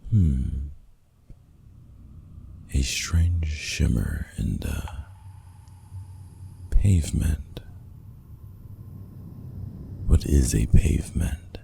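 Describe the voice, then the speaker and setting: A man playing a stern, possessive ruler of an underground city in a fantasy ASMR roleplay, speaking formally in a gravelly, hushed half-whisper. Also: extremely low pitch, lively intonation, a little hiss or hum, heavily acted. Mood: curious, musing. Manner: formal, archaic, commanding, measured.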